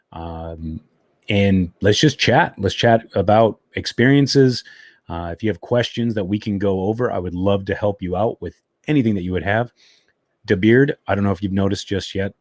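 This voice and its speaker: A man hosts a motorcycle live stream from home, talking in an easygoing, chatty way. His voice sits low. The sound is dry and close. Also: a little mellow, no echo. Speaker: motovlog live stream host. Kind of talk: casual live stream chat.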